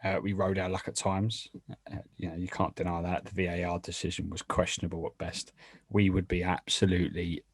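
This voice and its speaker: A man's voice: deep voice, little pitch movement, a little fast, slightly rough, no room sound. A man giving casual football opinions as a podcast panellist, hesitantly repeating words as he builds each point.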